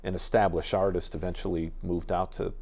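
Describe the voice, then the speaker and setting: A man with an American accent and a lowish voice. He speaks in a casual, conversational interview style, as a radio station guest explaining things. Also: flat delivery, a little fast, a little mellow.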